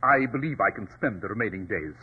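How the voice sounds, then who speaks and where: An actor in a 1940s radio drama playing a coolly superior, sardonic detective with a clipped, precise, imperious delivery. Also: male voice, fairly low pitch, lively intonation, quick pace, breathy edge. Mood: cool, analytical. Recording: dull, lo-fi old radio recording.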